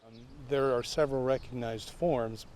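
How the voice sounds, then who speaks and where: A man explaining things informally in an interview, as a flower grower, in a halting way. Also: mid-range voice, fairly even intonation, a little mellow, a little hiss or hum.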